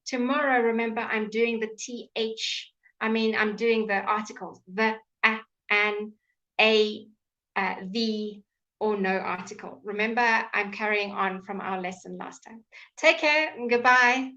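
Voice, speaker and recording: A woman's voice: medium pitch, steady tone, pushed and bright, dull audio. A woman teaching English pronunciation in an online lesson, exaggerating sounds so they can be heard. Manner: slow, careful, exaggerated articulation.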